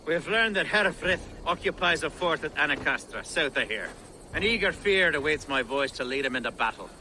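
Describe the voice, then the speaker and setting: An actor playing an earnest, courteous Anglo-Saxon nobleman and war leader in a video game, with a formal, measured manner. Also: a little high, expressive, pushed and bright, a little hiss or hum, poor audio. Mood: eager, resolute. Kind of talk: video game cutscene acting.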